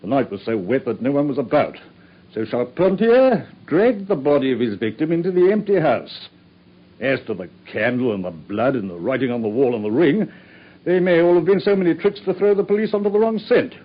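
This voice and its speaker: An actor playing a self-satisfied male police inspector in a radio drama, smug and husky. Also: mid-range voice, expressive, a little fast, lightly acted. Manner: smug, pleased with himself. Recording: dull old radio recording.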